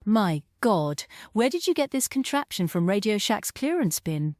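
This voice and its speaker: A woman's voice: mid-range voice, big pitch swings, moderate pace, darkish tone, no room sound, clean studio sound, close to an everyday voice. A woman playing a scheming, sarcastic villainess in a stage table read, sly, mocking and melodramatic. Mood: exasperated.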